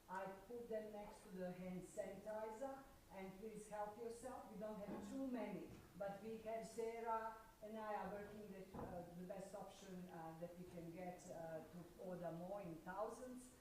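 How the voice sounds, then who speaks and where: A woman giving church announcements in halting English with many fillers, in a small church hall. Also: medium pitch, darkish tone. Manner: halting, many fillers, non-native phrasing. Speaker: personal ministries leader. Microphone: far from the mic. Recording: echoey hall, distant mic with background noise.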